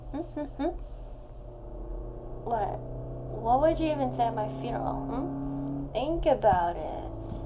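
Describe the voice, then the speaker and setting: Woman acting a slow-talking tipsy young lovesick friend. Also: on the high side. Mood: teasing, tipsy. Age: young adult. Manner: drawn-out, rambling, sighing, halting. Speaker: voice actor in audio roleplay. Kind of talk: intimate audio roleplay, drunk voicemail monologue to listener. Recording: faint background noise.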